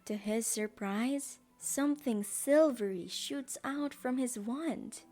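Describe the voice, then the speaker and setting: A woman narrator reads a scripted audio drama at an unhurried pace. Her tone is mellow, and faint music plays behind her storytelling. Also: on the high side.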